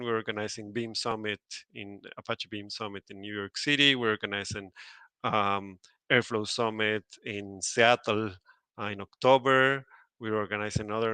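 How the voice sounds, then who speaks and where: A man's voice: mid-range voice, sharp tone, clear, resonant tone, dry recording. A man hosting an online conference, making announcements and introducing a speaker, with many fillers and restarts. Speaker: event host.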